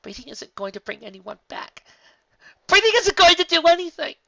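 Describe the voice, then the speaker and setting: Woman doing a frantic screaming unicorn princess, high and shrill, lo-fi. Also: very animated, brisk, gravelly, no room sound, recorded from a distance, full character voice. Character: panicked young female unicorn princess.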